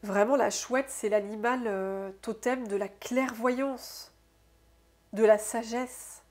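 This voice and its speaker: A woman with a stuffy, congested nose from a cold, giving an intuitive oracle card reading to her viewers, musing aloud softly and often tagging her sentences with "hein". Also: fairly high pitch, fairly even intonation. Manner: soft, unhurried, musing aloud with frequent "hein". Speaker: soul therapist and oracle card reader.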